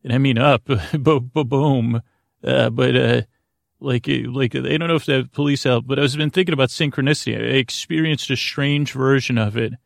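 A man hosting a bedtime podcast in a creaky voice, rambling soothingly and haltingly, often stammering and interrupting himself. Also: mid-range voice, fairly even intonation, dry recording, clean studio sound. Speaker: host of a bedtime sleep podcast.

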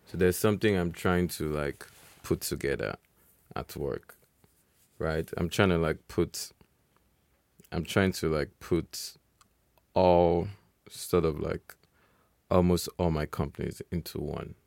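Podcast co-host, male, very low gravelly voice, dry close sound, loose chatty delivery. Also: slow pace, pauses often, no echo. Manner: relaxed, rambling with restarts and laughs. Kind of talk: casual chat.

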